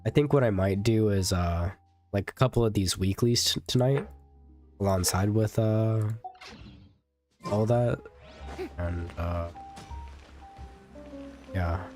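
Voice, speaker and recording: A man's voice: lowish voice, slow pace, pauses often, a little mellow. Chill guy streaming a game, rambly, swears a lot, faint music behind. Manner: laid-back, rambling, swears casually. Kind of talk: casual gameplay commentary.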